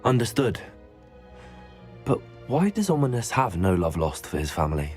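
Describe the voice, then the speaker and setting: An actor voicing a polite, curious teenage wizard student in a video game, speaking formally with a British accent. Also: male voice, lowish voice, big pitch swings, normal speed, darkish tone. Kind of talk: scripted video game dialogue. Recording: music under the dialogue.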